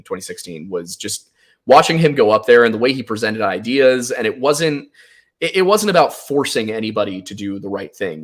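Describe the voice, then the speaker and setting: A man's voice: on the low side, a bit bright. A man in his twenties with an American accent, talking casually and at length as a podcast guest, explaining himself through personal stories. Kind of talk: casual conversational storytelling.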